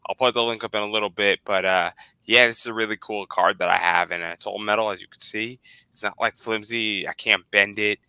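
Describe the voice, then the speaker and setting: A man's voice: fairly low pitch, steady tone, brisk, sharp tone, smooth, no room sound. A man in his twenties hosting a casual video-call chat, rambling and repeating words. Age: 20s. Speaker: podcast host.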